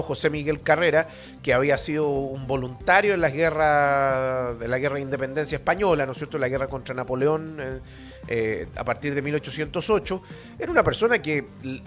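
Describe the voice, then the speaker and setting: A man's voice: fairly high pitch, fairly bright tone. A man with a Chilean accent hosting a radio history show, lecturing informally in a blunt, opinionated, colloquial way full of rhetorical and tag questions.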